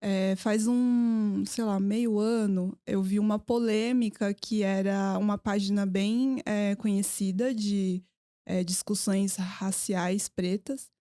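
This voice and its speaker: A woman's voice: mid-range voice, steady tone, slow, dark tone, dry recording, clean studio sound. A woman who grew up between Brazil and Japan, a podcast guest telling stories in a hesitant, laughing way. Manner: storytelling, hesitant, laughs often. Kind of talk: casual conversational interview.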